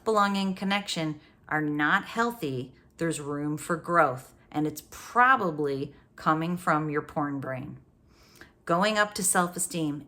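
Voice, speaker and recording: A woman's voice: sits low, a bit bright. A woman host giving a motivational coaching talk, speaking straight to the listener with upbeat energy and rhetorical questions. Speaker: brain coach and podcast host. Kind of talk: motivational solo talk to camera.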